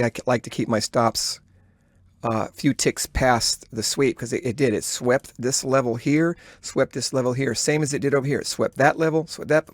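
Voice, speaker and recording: A man giving live day-trading commentary, thinking aloud in a halting, instructive way as he talks to himself and viewers. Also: medium pitch, dry recording, studio quality. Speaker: day trader recording a trading journal.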